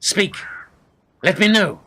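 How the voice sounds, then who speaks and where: An actor playing a cruel, menacing middle-aged lord in a radio play, speaking in archaic, theatrical phrases. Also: male voice, sits high, slow, pushed and bright, heavily acted. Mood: grim, commanding.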